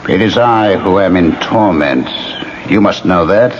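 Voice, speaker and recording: Slow, brooding, vengeful male ghost. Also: low-pitched, lively intonation. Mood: vengeful anguish. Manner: theatrical, deliberate, menacing. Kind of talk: 1970s radio drama acting. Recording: muffled, faintly hissy old radio recording.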